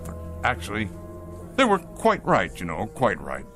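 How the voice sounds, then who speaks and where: An actor playing a pompous, bitter ousted company boss in a science-fiction drama, neurotic, halting and imperious. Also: male voice, lowish voice, huge pitch swings, fairly bright tone, faint music behind. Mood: wounded, resentful. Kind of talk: classic science-fiction radio drama acting.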